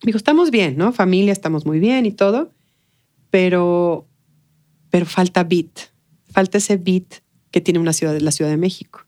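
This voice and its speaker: A woman speaks Spanish with a Mexican accent in a relaxed interview conversation. Her voice sits a little low, and she talks expressively, with slangy phrasing and frequent tag questions. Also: normal speed. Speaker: interview guest.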